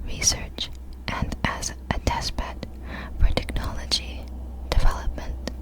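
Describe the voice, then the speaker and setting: A woman speaks entirely in a soft, breathy whisper in the style of an ASMR video. She reads facts very slowly, in short halting phrases. Her manner is apologetic, and she tends to stumble over difficult words.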